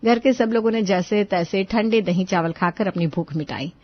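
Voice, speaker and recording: A woman's voice: medium pitch, moderate pace, lo-fi sound. A woman narrating a scripted moral story as its storyteller.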